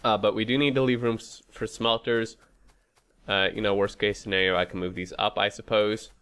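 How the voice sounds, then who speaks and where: A man's voice: lowish voice, fairly even intonation, normal speed, fairly bright tone, clean tone. A man with a stuffy, head-cold voice giving casual gameplay commentary, thinking aloud in a hesitant, rambling way. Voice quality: stuffy, congested nose. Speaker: host of a gaming playthrough series.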